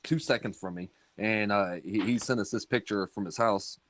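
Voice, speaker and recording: A man co-hosting a podcast, talking in a halting way with many fillers. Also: lowish voice, a bit bright, slight background noise. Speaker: podcast co-host. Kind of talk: casual podcast chat.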